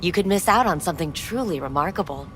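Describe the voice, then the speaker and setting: An actress playing a poised, courteous female receptionist at a futuristic club in a video game, with a formal, measured manner. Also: lowish voice, animated, a bit bright, a little hiss or hum. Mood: polished. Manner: formal, measured, smoothly sales-like. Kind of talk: video game dialogue acting.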